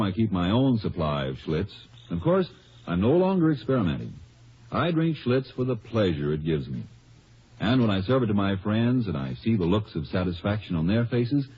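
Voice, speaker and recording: A genial psychology professor giving a wry testimonial in a vintage radio ad. His voice is very husky and lowish, with lively rises and falls. The recording sounds dull. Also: heavily acted. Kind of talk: 1950s radio ad narration.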